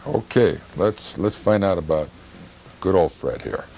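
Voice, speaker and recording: An American man hosting a casual interview show with halting phrasing. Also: low voice, animated, creaky, very noisy. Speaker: show host.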